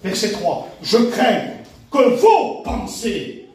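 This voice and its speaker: High-voiced preacher in an echoey hall. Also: male voice, big pitch swings, drawn-out words. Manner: emphatic, repeats phrases, swings from shouts to hushed asides. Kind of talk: sermon. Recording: very echoey hall, mic from far off.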